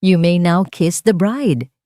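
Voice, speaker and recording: Female narrator as a worn-out judge, formal and flat, low-pitched. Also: slow, dry recording, studio quality. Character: weary middle-aged judge officiating a wedding.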